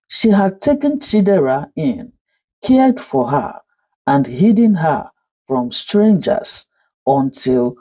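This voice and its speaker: A woman telling a scripted folktale as a storyteller, in a measured, dramatic manner. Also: low voice, a little mellow, slightly rough, rough recording.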